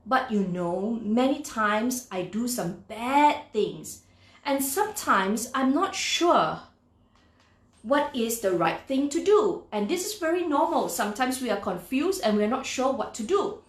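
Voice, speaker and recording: A woman's voice: on the high side, very animated, measured pace, echoey room. A woman voicing a shy, earnest little boy tapir from a children's storybook, speaking hesitantly. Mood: shy, confiding.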